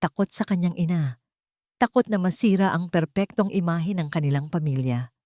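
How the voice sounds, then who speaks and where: A woman's voice: lowish voice, normal speed, dark tone, dry recording, clean studio sound. A woman storyteller giving scripted dramatic narration of a love story.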